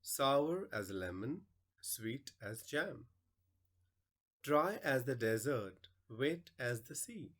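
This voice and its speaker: Slow, expressive man reading aloud. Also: medium pitch. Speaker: English teacher presenting a read-aloud lesson. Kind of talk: instructional narration and poem recitation.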